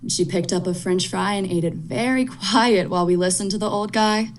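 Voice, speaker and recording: A woman's voice: mid-range voice, normal speed, a little hiss or hum, natural voice. A woman playing a wry, slangy young narrator telling a spooky tale in a radio drama. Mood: wry storytelling.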